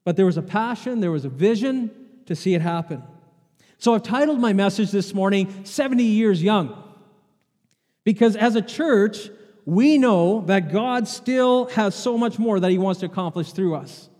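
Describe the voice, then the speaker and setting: A man's voice: high-pitched, expressive, normal speed, dark tone. A man preaching a sermon as pastor, chatting with the congregation and throwing out rhetorical questions. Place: church sanctuary. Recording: long hall reverb in a church.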